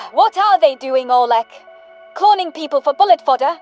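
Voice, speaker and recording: A woman's voice: high voice, expressive, normal speed, a little mellow, soft background music. An actress playing a sarcastic, exasperated human woman with a mocking way of talking.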